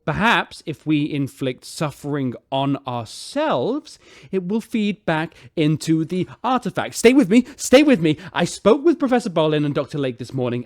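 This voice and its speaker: Insecure poetic space captain, rambly and dramatic, voice jumps all over, radio drama. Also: male voice, a little high, a little mellow, dry recording, studio quality. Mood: earnest, halting. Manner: rambling, theatrical. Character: floundering, self-doubting, poetic colony ship captain. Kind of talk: sci-fi radio drama acting.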